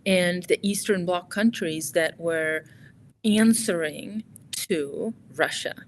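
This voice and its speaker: Woman, 40s, low voice, soft-spoken guest, faint rumble behind. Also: slow. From Poland. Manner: reflective, soft-spoken, drops volume at phrase ends. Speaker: guest, climber and author.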